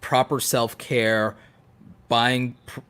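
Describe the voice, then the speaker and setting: A man's voice: medium pitch, level tone, drawn-out words, fairly bright tone, clear, resonant tone. Guy podcast cohost chatting casually, opinionated and emphatic, repeats himself to make a point. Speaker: podcast co-host.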